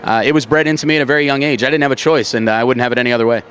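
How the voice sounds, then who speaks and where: A man's voice: mid-range voice, very fast, fairly bright tone. A young American man with a trained theater voice, answering interview questions conversationally as a stadium announcer, outdoors at a ballpark. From Baltimore, United States. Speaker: stadium public address announcer, interview guest. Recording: faint outdoor urban background noise.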